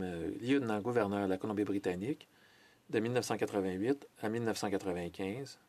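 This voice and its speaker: A man giving a simultaneous interpretation of a formal parliamentary speech, measured, with hesitations and self-corrections. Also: on the low side, darkish tone, dry recording. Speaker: French interpreter of a Senate speech.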